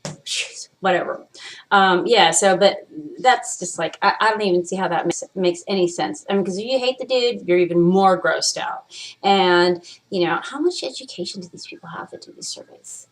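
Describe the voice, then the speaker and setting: Candid, rambling woman vlogger, slightly low. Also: moderate pace. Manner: candid, rambling, swears freely; playful, trying out voices. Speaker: vlog host. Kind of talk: casual chat to camera.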